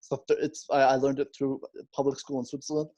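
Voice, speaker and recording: A man's voice: medium pitch, little pitch movement, brisk, dark tone. A young man co-hosting a casual film podcast, joking and teasing his co-host, with hesitant fillers.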